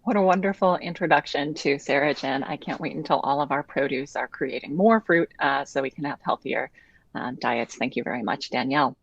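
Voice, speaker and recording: A woman with a very low, husky voice moderates an event, friendly and halting, with ums between phrases. The audio is dry and muffled. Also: no echo.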